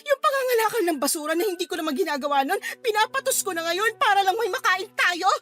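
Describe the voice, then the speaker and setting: A woman's voice: extremely high pitch, animated, quick pace, pushed and bright, faint music behind, heavily acted. An actress playing a feisty, fed-up Filipino mother in a radio drama, scolding her drunkard partner in a combative, emphatic way. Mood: bitter, reproachful.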